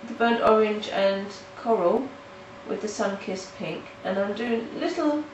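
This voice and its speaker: Nasal woman, halting casual tutorial talk, roomy sound, mic set back. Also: mid-range voice, dull audio. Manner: halting, with restarts and fillers. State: nasal from hay fever. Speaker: art tutorial host. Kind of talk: casual step-by-step tutorial narration. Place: home in the countryside. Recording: roomy, mic at a distance, faint noise.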